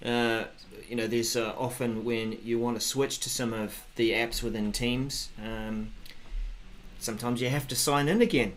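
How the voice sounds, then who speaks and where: A man in his forties co-hosting a tech news show, talking casually in a halting, conversational way. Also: mid-range voice, fairly even intonation, slight background noise. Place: home office, video call.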